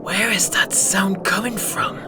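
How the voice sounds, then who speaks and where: A woman playing an observant, eager adventurer in an amateur audio play, with a deliberate delivery. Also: medium pitch, close to an everyday voice. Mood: curious. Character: observant young adventurer eagerly spotting characters. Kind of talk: amateur audio drama acting. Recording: noisy home-style recording.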